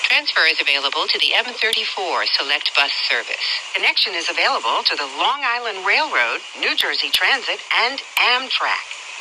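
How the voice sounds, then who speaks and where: An American woman reading scripted transit announcements in a measured, clearly enunciated way, in segmented phrases. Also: fairly low pitch, very animated. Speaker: train announcer. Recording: noisy train announcement over speaker.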